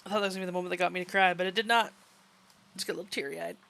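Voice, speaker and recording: A woman's voice: on the low side, fairly even intonation, racing, vocal fry, fairly bright tone. A woman with a youthful baby voice chatting casually while streaming a game, laughing and reacting. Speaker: livestream host playing a game. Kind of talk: casual gaming commentary.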